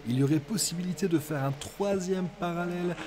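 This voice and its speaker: Man, podcast host, casual rambling chat outdoors, soft music behind. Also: sits high, brisk. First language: French. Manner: rambling, many fillers and restarts. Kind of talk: casual solo podcast talk.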